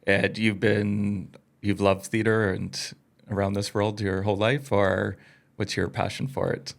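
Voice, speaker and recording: A man's voice: lowish voice, flat delivery, unhurried, gravelly. A man with a Canadian accent, casually interviewing guests as a podcast host.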